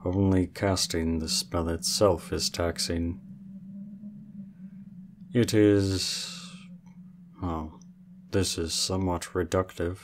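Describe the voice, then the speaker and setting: A man voicing an ancient, aloof male dragon in human form, speaking in a formal, measured and reserved way for an ASMR roleplay. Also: sits low, flat delivery, slight background noise. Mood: calm, aloof.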